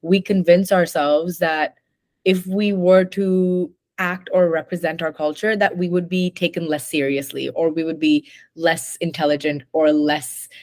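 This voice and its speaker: A young woman from India with a softened Indian English accent, a podcast host talking in an earnest, engaged way. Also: deep voice, steady tone, a little slow, dry recording, clean studio sound. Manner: earnest, engaged, lots of likes. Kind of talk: conversational interview.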